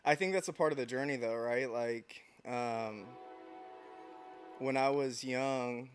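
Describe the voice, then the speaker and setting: A man in his thirties from San Diego, a podcast guest talking in a reflective, unhurried way with frequent restarts. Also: medium pitch, soft background music. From San Diego, United States. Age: 30s. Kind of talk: casual interview chat.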